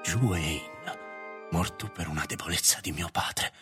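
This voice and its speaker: A man playing a regretful old patriarch of eighty-six in a radio play, halting and wistful. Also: low voice, a bit bright. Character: brooding, regretful 86-year-old patriarch in a wheelchair. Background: prominent music.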